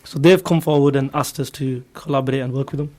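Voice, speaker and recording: A man's voice: mid-range voice, steady tone, fast, darkish tone. A man from Bradford with a West Yorkshire accent, explaining eagerly as a guest in an informal radio interview. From Bradford, England. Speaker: interview guest, recruitment agency founder.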